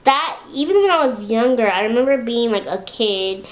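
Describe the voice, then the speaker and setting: A young woman with a high-pitched voice, chatting casually to camera in a home video and talking about herself. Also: moderate pace, poor audio. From Los Angeles, USA. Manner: chatty, relaxed, with many fillers and restarts. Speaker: beauty vlogger.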